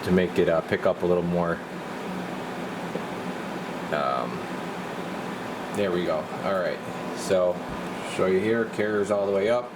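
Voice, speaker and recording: Deep-voiced man in a noisy workshop. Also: measured pace, pauses often. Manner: rambling, hands-on, stops mid-sentence while working. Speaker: radio repair technician. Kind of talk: casual workshop demonstration. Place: garage workshop.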